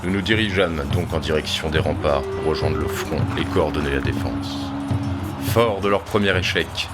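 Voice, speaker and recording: A man's voice: a bass voice, normal speed. A man playing a war general who recounts a grim siege in a solemn, literary audio drama narration. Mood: grave, weary. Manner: grave, literary. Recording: loud music behind the voice.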